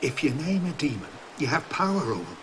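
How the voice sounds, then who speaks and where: Irish priest: avuncular, dry wit, unflappable game mentor, hugely varied intonation, clearly noisy backdrop. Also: male voice, a little high, big pitch swings, fast. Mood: wry relief. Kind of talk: video game character acting. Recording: noisy background under the voice.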